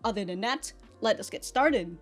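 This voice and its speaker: A woman hosting a reaction show, commenting casually in an animated, exclaiming way. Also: medium pitch, normal speed, soft background music. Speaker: host of an anime reaction show.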